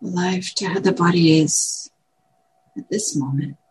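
A woman meditation teacher guiding a meditation in gentle, measured short phrases with long pauses. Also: on the low side, rough recording. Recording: clear background noise, room ambience.

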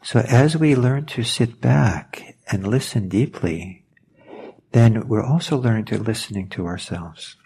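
A man whose first language is Norwegian, giving a reflective meditation talk, pausing and searching for words as he goes. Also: fairly low pitch, expressive, creaky, dark tone, breathy edge. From Norway. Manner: thoughtful, hesitant, searching for words.

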